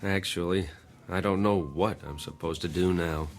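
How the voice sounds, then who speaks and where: A low-pitched young man, played by an actor, in a radio play, worn down and short on patience. He talks plainly with wry skepticism, over faint music. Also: fairly even intonation, normal speed, natural voice. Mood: harried, dejected. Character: harried, skeptical, down-on-his-luck young working man. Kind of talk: audio drama acting.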